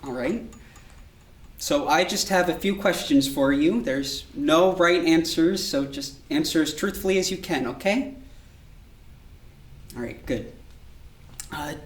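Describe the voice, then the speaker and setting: A low-pitched, patient female child psychiatrist acted by a woman in a staged drama. She speaks in a measured, reassuring, professional way. The sound is distant, with strong room echo. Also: natural voice. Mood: gently probing. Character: calm, patient child psychiatrist questioning a young patient. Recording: distant, echoey room recording.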